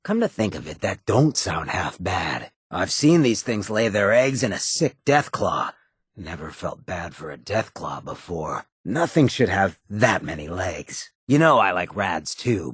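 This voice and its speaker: An actor voicing a sardonic, streetwise ghoul town boss for a video game, with a gravelly rasp and a sly, slangy delivery. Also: male voice, low-pitched, no echo, clean studio sound, full character voice. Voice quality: gravelly, raspy. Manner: sly, laid-back, slangy. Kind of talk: video game companion voice lines.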